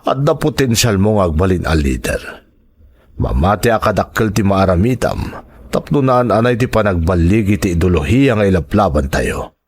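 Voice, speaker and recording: A man's voice: sits low, lively intonation, normal speed, breathy edge, exaggerated voice. A man with an Ilocano accent acting in a radio drama as a persuasive rebel leader who poses as a protector and hides a predatory side. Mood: coaxing, protective.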